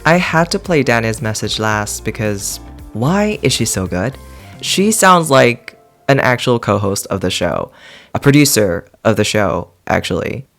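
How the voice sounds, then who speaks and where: A man's voice: on the low side, sing-song, a little slow, gravelly, fairly bright tone, soft background music. A man hosting a podcast, reading an earnest, enthusiastic introduction to the new season. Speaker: podcast host. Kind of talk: warm scripted podcast intro.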